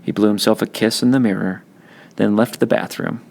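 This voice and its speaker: A man's voice: on the low side, fairly even intonation, brisk, gravelly, a little mellow. A man reading a children's novel aloud as scripted audiobook narration. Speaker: audiobook reader.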